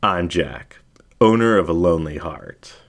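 A man's voice: low-pitched, animated, a little slow. A man voicing a laid-back, blunt and flirtatious male dingo in a casual, teasing way, as in an audio story. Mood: easygoing.

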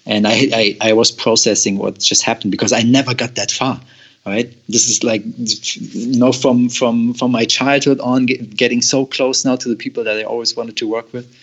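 A man's voice: lowish voice, fairly bright tone, slightly rough. Man telling a story, dull sound. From Germany. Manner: hesitant, repeats words, laughs often. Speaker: guest. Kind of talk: storytelling in an interview.

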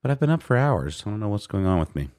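A man's voice: lowish voice, very animated, fast, darkish tone, clear, resonant tone, no room sound, clean studio sound. A man co-hosting a podcast in casual chat, his voice sleepy, rambling with fillers and restarts. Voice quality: sleepy-sounding voice. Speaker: podcast co-host.

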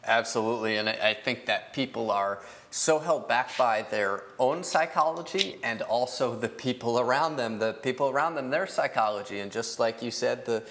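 A man's voice: mid-range voice, moderate pace, few pauses, a bit bright. A man hosting a podcast, chatting in an agreeable, conversational way. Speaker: podcast host. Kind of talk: casual interview chat.

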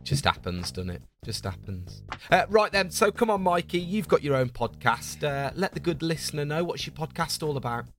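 A man's voice: a little high, expressive, moderate pace, soft background music. A man co-hosting a podcast, chatting casually and teasing his guest. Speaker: podcast co-host.

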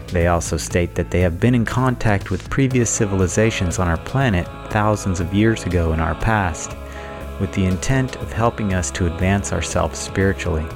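A man hosting a podcast, giving a measured, explanatory introduction in a scripted style. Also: low-pitched. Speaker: podcast host.

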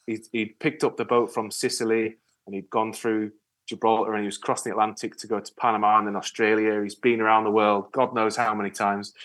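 A man from Yorkshire with a northern English accent, talking casually as an interview guest in a rambling, halting way with repeated words. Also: on the low side, level tone, a bit bright. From Yorkshire, England. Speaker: interview guest, ocean rower and spearfisher. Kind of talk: casual conversational storytelling.

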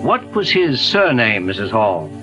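An actor playing a calm, courteous male detective in a 1940s radio drama, asking polite, probing questions. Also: on the low side, a little slow, poor audio. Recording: 1940s radio broadcast with music.

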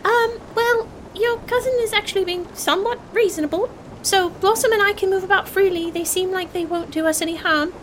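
Tiny, well-mannered adventurer for a tabletop game, played by a woman; squeaky-high, earnest, haltingly bluffing, faint rumble behind. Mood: polite, earnest. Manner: halting, courteous, stalling. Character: small polite earnest adventurer, nervously bluffing past guards. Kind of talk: in-character tabletop role-play. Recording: steady low background rumble and hiss.

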